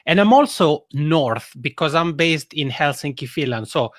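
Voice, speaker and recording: A man with a Swiss Italian accent, his first language Italian, talks through his work in measured, orderly points. His voice sits a little high for a man. The sound is dry and close, with no room echo. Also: animated. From Italian-speaking Switzerland. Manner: articulate, measured, explains in lists. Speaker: podcast guest, podcasting consultant. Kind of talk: interview answers.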